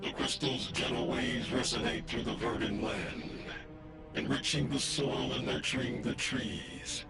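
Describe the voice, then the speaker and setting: A man's voice: mid-range voice, unhurried, close to an everyday voice. A man playing a solemn, bitter figure delivering a stately, poetic monologue in a fantasy video game. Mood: solemn, brooding. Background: loud music.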